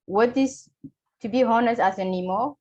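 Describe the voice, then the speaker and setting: Woman from Somalia, earnest, halting English, muffled lo-fi call audio. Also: fairly high pitch, a little mellow. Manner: earnest, halting non-native English with pauses. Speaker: webinar speaker, refugee community leader. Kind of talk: online webinar talk.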